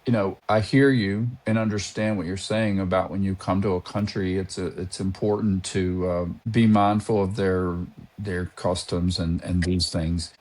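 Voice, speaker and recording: An American man who is a documentary maker, speaking as an interview guest in a measured and earnest way, sometimes searching for words. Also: sits low. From United States. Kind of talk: reflective interview talk.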